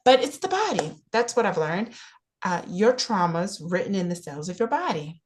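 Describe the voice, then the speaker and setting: An actress plays an earnest, nosy woman in a naturalistic stage play. Her pitch swings widely as she talks in halting, repetitive bursts, insistent about what she has to offer. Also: big pitch swings, slight background noise, natural voice. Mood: insistent, confiding. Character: Ruthie, talkative, earnest, nosy woman offering unasked health advice.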